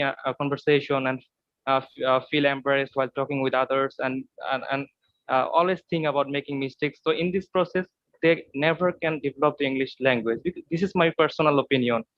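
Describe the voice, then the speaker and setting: A man's voice: medium pitch, a little fast, dry recording, highs cut off. A man from Bangladesh, a Bengali speaker with a Bangladeshi accent, talking earnestly in a casual discussion, full of fillers and restarts. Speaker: English learner.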